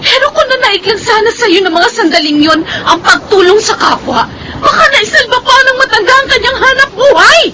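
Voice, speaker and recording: Filipina big sister in radio drama, super high voice, pleading and scolding, really noisy background. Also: female voice, animated, normal speed. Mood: reproachful, upset. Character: caring, reproachful older sister from a village. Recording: noisy, busy background ambience.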